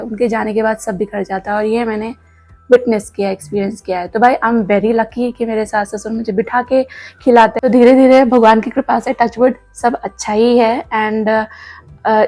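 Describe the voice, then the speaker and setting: An Indian woman in her thirties chats candidly with her viewers from home, her fairly high voice a little scratchy from a sore throat. She sounds earnest and mixes English words into her talk. The recording is close and dry, with no room echo. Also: a little mellow. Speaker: vlogger. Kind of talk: casual vlog talk to viewers. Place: home kitchen.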